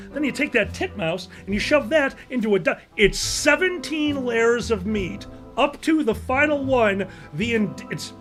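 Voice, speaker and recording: Guy hosting a comedy podcast, really lively high voice, sweary storytelling, emphatic. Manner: animated, emphatic, profane. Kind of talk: comedic storytelling, casual banter.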